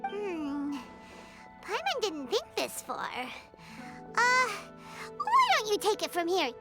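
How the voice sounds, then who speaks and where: A tiny, excitable fairy companion from a video game, squeaky and extremely high. The delivery swoops up and down in a bubbly, childlike way and takes its time, with loud music playing behind it. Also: female voice, slow, full character voice. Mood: hesitant, pondering. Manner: refers to self in third person, bubbly, thinks aloud. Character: small, childlike, excitable female fairy companion, eager and chatty.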